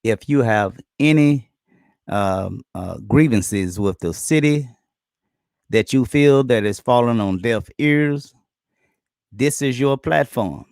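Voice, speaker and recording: A man hosting a live-streamed community talk show, loose and halting with many ums and uhs, his voice going hoarse as he keeps clearing his throat. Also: mid-range voice, animated, darkish tone, no room sound, clean studio sound. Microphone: computer or phone on internet stream.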